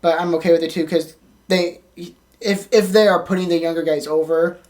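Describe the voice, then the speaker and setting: A woman with a fairly low voice co-hosts a talk podcast. She speaks in a loose, informal, conversational way, dropping "like" often. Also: steady tone, brisk, a little mellow. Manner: informal, frequent "like". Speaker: podcast co-host. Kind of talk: casual chat.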